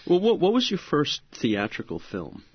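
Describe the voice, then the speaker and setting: A male interviewer asking calm, measured questions. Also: a little high, slightly rough, dull audio.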